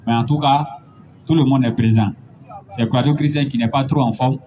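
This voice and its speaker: A man preaching a sermon, building to emphatic, drawn-out points. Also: medium pitch, fairly even intonation. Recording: dull lo-fi recording with faint noise.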